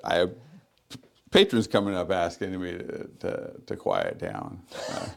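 A man working as a librarian, talking loudly and chattily as a radio interview guest, with frequent ums and restarts. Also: deep voice, wildly swooping pitch, a little slow, vocal fry. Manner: loud, chatty, many fillers.

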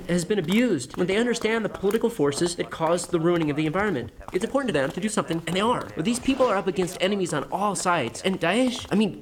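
A man's voice: high-pitched, close to an everyday voice. A man acting as an earnest, bookish young American idealist in a drama, hesitant and eager to explain. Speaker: actor in an audio drama. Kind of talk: naturalistic dialogue acting.

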